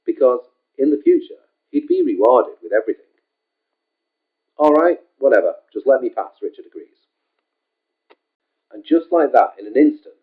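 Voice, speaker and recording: A man reading his own short story aloud in a casual, homespun way, leaning often on the word "just". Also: medium pitch. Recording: tinny phone-like sound.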